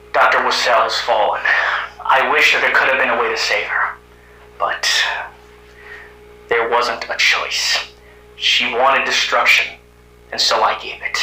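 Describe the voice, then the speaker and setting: A man playing a weary, resolute hero in an amateur analog horror cartoon, speaking solemnly and deliberately. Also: medium pitch, bright, forceful tone, reverberant, poor audio. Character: weary, resolute heroic fighter from another Earth, male.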